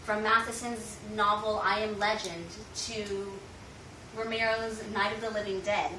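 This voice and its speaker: A woman's voice: medium pitch, flat delivery, unhurried, far from the mic. An American woman giving a prepared informative speech in a formal, rhetorical presenting style. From United States. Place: indoor room, speaking to an audience. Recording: echoey room from afar, loud noise.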